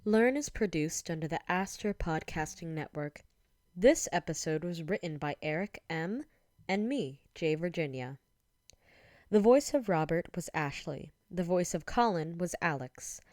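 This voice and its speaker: A woman's voice: fairly low pitch, animated, unhurried, a little mellow, dry recording, clean studio sound. A woman, a podcast writer, reading scripted closing credits.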